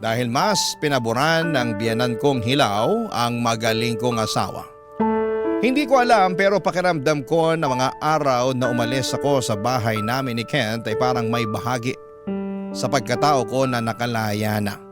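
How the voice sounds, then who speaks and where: Male Filipino radio storyteller, mid-pitched, dramatic letter narration over loud guitar music. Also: moderate pace. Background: prominent guitar music.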